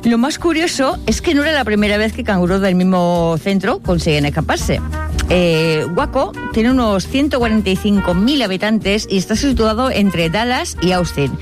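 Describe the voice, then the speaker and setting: A woman's voice: mid-range voice, expressive, moderate pace, over music. A woman presenting a comedic mock news report, playful and hesitant with many fillers.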